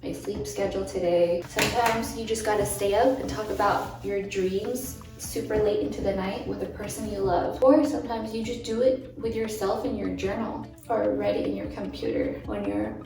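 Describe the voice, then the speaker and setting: A young woman vlogger talking earnestly to camera, her voice croaky from a late night. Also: medium pitch, animated, normal speed, few pauses, distant mic. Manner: earnest, encouraging, musing. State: croaky from little sleep. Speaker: lifestyle vlogger. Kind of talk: reflective talk to camera. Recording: echoey, from off the mic, soft music.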